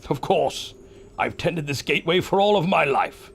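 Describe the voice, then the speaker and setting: Wildly swooping yeti gatekeeper. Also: male voice, fairly high pitch, expressive, moderate pace, creaky, slight background noise. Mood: solemn, haunted. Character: yeti gatekeeper of a hidden mountain gateway, lonely and welcoming. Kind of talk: audio drama acting.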